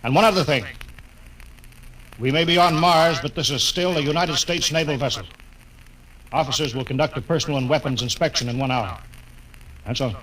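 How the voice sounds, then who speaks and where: An actor playing a commanding male rocket ship captain in a 1950s radio drama, giving crisp, authoritative orders. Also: fairly high pitch, a little fast, pauses often, a bit bright, lightly acted, poor audio. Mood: stern. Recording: old radio broadcast with music underneath.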